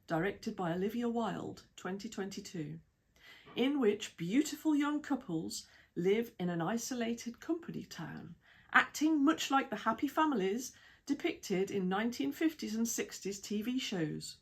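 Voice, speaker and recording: A woman with a British accent reading an article aloud in a measured, even way, with lists of titles and dates. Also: medium pitch, husky. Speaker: reader of an article. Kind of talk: read-aloud essay narration.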